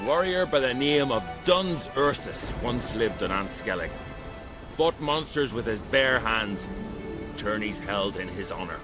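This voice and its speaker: An actor playing a proud island arena master in a video game, speaking ceremonially in archaic turns of phrase. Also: male voice, medium pitch, expressive, a little slow, natural voice. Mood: solemn, proud. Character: proud island arena master, solemn keeper of fighting tradition. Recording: music behind the voice. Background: game music.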